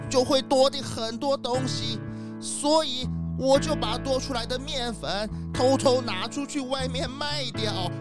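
Brief: nervous old billy goat, high-pitched, halting and slow, stammering, children's radio drama. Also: female voice. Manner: stammering, repeating words. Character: timid, guilty old male goat thief.

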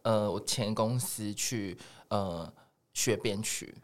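A man with a Taiwanese accent chatting casually as a guest music producer, telling things in animated detail. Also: on the low side, takes it slowly. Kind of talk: casual podcast interview chat.

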